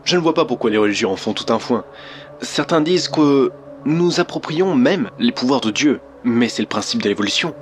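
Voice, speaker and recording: A man's voice: medium pitch, expressive, brisk, soft background music, natural voice. A man acting in an audio drama as a confident rationalist giving an opinion on progress. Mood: assertive. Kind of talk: acted vox pop in audio drama.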